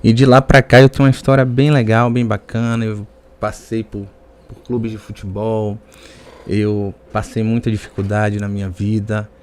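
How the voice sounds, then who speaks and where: A man with a fairly low voice and a Bahian Brazilian accent talks as a guest on a podcast. He tells personal stories in a conversational way. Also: level tone, moderate pace, a little mellow, clear, resonant tone. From Salvador area, Bahia, Brazil.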